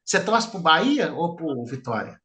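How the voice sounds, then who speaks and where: A man's voice: on the high side, big pitch swings, moderate pace, pushed and bright. A middle-aged man teaching a live online class, chatty and informal, often checking in with "tá?". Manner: chatty, informal, peppers speech with "tá?". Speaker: instructor. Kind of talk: live online class, lecturing and questioning students.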